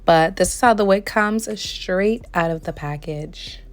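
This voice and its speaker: A young woman with a slightly hoarse voice, still recovering, walking through a wig review and install step by step. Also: mid-range voice, unhurried. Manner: step-by-step explaining, relaxed. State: hoarse, recovering from losing her voice. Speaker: beauty vlogger reviewing a wig. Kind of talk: product review voice-over.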